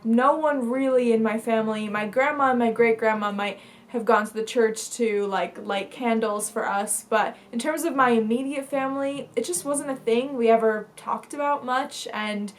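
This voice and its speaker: A woman's voice: fairly high pitch, fairly even intonation, normal speed. A young woman from Bulgaria, a lifestyle vlogger talking casually to camera, chatty, with lots of fillers and restarts.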